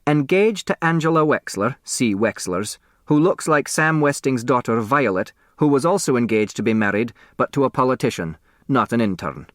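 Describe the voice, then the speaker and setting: A man's voice: medium pitch, expressive, normal speed, hardly any pauses, dry recording, clean studio sound, lightly acted. A man voicing an earnest, folksy doorman who reports in plodding, clipped phrases in a storybook reading. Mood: matter-of-fact.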